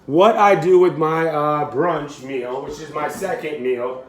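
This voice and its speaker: A man's voice: a little high, measured pace, crowd noise. A man in his late thirties, a fitness coach, chatting casually in a home cooking vlog, rambling and correcting himself with easy laughs. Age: 30s.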